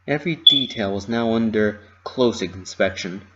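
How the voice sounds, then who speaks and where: A narrator giving an expressive, theatrical dramatic reading of a story. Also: medium pitch, normal speed, muffled recording, heavy background noise. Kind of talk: dramatic reading of fan fiction.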